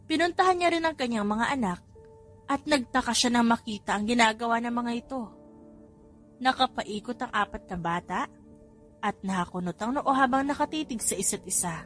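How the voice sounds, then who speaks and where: A woman with a high voice narrates a story as an audiobook reader. Her delivery is scripted storytelling, with faint music underneath. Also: fairly quick, fairly bright tone.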